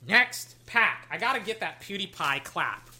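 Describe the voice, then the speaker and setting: A young adult, slightly hoarse, chatting casually while opening card packs at a desk, swinging between loud hype and low muttering and swearing freely. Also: animated, a little slow. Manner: swears casually, trails off and restarts. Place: at a desk indoors.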